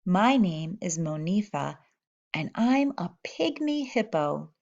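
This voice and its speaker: A woman reading a children's picture book aloud in an expressive manner, voicing animal characters in the first person. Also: lowish voice, slow pace, vocal fry, muffled recording. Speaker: storybook reader.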